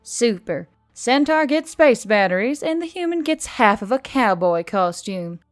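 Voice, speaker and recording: A woman's voice: on the high side, big pitch swings, a little slow. A woman performs a hot-tempered female scavenger from an audiobook story. She is biting and sour, full of exasperated sarcasm, and music plays behind her. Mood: sarcastic.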